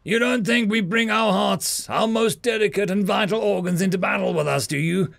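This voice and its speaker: A man voicing a wounded, defiant male human soldier in armor who rasps and growls his lines. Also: high-pitched, moderate pace, a bit bright, no echo, pitched above the performer's own voice. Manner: rasping, growling, dry defiance.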